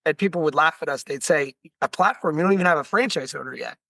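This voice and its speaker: A man with a slightly hoarse voice, speaking earnestly and explaining things as a guest in a business webinar interview. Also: a little high, fast talker, bright, forceful tone, no room sound, clean studio sound. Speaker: guest, franchise company founder.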